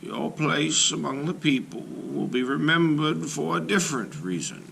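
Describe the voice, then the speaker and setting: A man voicing a wise old shaman in a children's folk tale, speaking slowly and solemnly with reassurance. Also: on the high side, animated, gravelly, heavy background noise, voice pushed up. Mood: solemn, reassuring. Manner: slow, drawn-out, solemn delivery.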